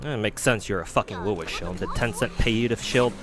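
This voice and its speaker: Lowish-voiced profane male gaming streamer. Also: fast. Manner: rambling, profane, lots of "like" fillers. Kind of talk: casual livestream chat while playing. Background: game music.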